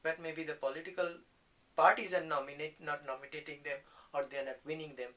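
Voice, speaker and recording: A man's voice: on the high side, fairly even intonation, fairly quick, pushed and bright. A man, asking questions informally as an interviewer.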